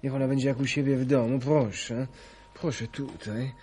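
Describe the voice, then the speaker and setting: An actor playing a dissolute, jealous young count with affected aristocratic manners in a grotesque radio operetta. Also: male voice, medium pitch, fairly even intonation, normal speed, warm tone, breathy edge, natural voice. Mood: smooth, explaining. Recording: 1980 radio play with music behind.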